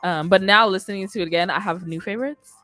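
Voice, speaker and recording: A woman's voice: medium pitch, fast talker, a bit bright. A young woman, chatting casually as she interviews a guest as a radio host. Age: young adult. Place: small indoor radio studio. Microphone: close studio mic on boom arm, headphones. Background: music playing.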